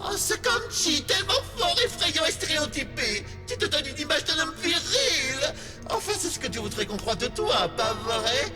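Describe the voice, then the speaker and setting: A man's voice: very high voice, very animated, normal speed. A man playing a flamboyant, teasing shadow double in an anime fan dub, camp and theatrical. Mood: mocking. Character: flamboyant, teasing male shadow double hosting a lewd live show. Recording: loud music over the voice.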